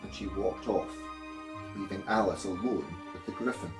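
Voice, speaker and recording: A man reading a children's story aloud in a measured, expressive way. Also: sits low, reverberant, over music. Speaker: storybook reader, primary school teacher.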